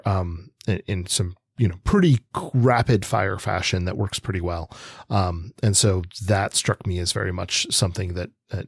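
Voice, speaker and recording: Halting, lowish-voiced man co-hosting a podcast. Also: husky, dry recording, studio quality. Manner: halting, searching for words, hedging. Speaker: podcast co-host. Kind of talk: literary discussion, conversational.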